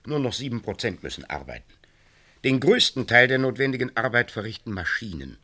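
A man's voice: medium pitch, very animated, a little fast, bright, forceful tone, breathy edge, exaggerated voice. A man playing a self-assured official of a dystopian society in a German science-fiction radio play, formal, officious and declamatory. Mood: officious, emphatic.

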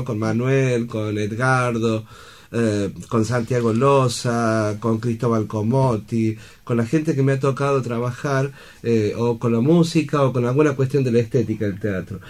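Earnest Argentine man, middle-pitched voice. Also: a little slow. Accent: Argentine Spanish. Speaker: guest, theatre director. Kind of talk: casual studio conversation.

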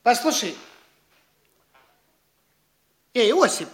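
Vain young gentleman, high-pitched, petulant, haughty, whiny, bossy but feckless, stage comedy audio play. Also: very animated, brisk, husky, heavily acted. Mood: commanding, hesitant.

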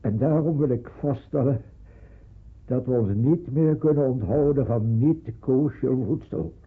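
An actor playing a grave, resolute father addressing his family in a radio drama, measured, solemn and husky. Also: mid-range voice. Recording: muffled narrow-band radio recording.

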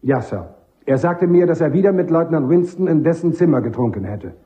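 A man's voice: fairly high pitch, animated, a little fast, mellow, lo-fi sound, lightly acted. A man playing a dutiful army sergeant on the witness stand in a German radio play, reporting in a clipped, deferential, factual way.

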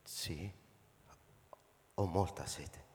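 A man's voice: deep voice, extremely slow, a little mellow. A man playing a frightened, paranoid spaceship captain in a theatrical radio drama, swinging between murmurs and agitated outbursts. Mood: fearful. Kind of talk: theatrical radio drama monologue with live music. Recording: reverberant hall, music over the voice.

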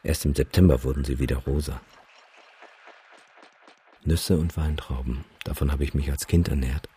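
Very deep, brooding weary man. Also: steady tone, normal speed, mellow, husky, exaggerated voice. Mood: wistful. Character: weary, gruff man who survived many trips into a deadly zone. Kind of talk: German radio drama acting.

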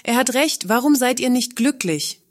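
An actress playing a curious, earnest Earthling in a satirical radio play, inquisitive and theatrically articulate. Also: female voice, fairly high pitch, lively intonation, moderate pace, no echo, clean studio sound, close to an everyday voice. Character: curious, earnest female Earthling questioning an alien.

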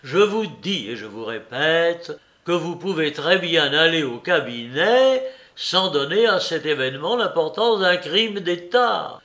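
A man's voice: fairly high pitch, expressive, unhurried, fairly bright tone. A man voicing an exasperated, sarcastic young clerk in his twenties in a storybook reading. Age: 20s.

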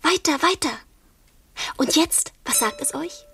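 A tender, playful serving girl in a radio drama, with a fairly high voice. She speaks slowly and coyly, set away from the microphone. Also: vocal fry, fairly bright tone, no room sound, distant mic, heavily acted. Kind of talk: German radio play acting. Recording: off-mic, faint noise and clicks.

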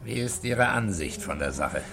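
A man's voice: lowish voice, lively intonation, normal speed, vocal fry, crowd noise. A man acting a stern, terse middle-aged police commissioner in a 1960s German radio play, giving orders and asking curt questions. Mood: calm, probing.

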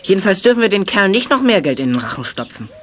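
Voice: mid-range voice, very animated, fast, fairly bright tone, a little hiss or hum. An actor playing a businesslike, impatient boy detective, emphatic and slightly pompous, in a children's radio play. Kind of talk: 1980s German children's radio play acting.

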